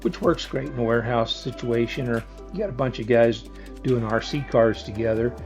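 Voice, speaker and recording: A man's voice: mid-range voice, moderate pace, a little mellow, muffled recording, soft background music. A man giving a casual hands-on product demonstration in a plainspoken, step-by-step explanatory way. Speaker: product reviewer.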